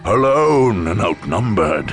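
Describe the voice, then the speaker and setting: A portentous war chronicler for a video game, slow and gravelly, with a swooping, theatrical delivery. His narration is grave and measured over dramatic music. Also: on the low side, sing-song, a bit bright, exaggerated voice. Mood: ominous.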